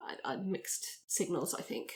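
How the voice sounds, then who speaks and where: A woman player casually improvising character details in a roleplay podcast, tentatively thinking aloud. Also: moderate pace.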